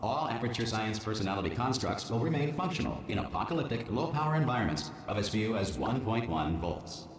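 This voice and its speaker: A deadpan corporate announcer. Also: male voice, fairly low pitch. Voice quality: smooth, measured, deadpan. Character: calm corporate male facility announcer. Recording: echoey public address sound.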